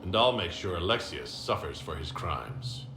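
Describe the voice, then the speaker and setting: An actor playing a steady, plain-spoken heroic leader in a video game cutscene. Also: male voice, sits low, normal speed, echoey room, background noise. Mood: determined. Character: resolute male fantasy hero, plain-spoken leader.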